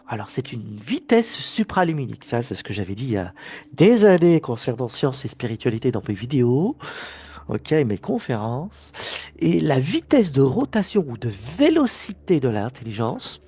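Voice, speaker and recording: Husky man with a head cold, animated. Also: mid-range voice, moderate pace. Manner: emphatic, animated, restarts phrases often. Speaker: spiritual teacher. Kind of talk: recorded video lecture.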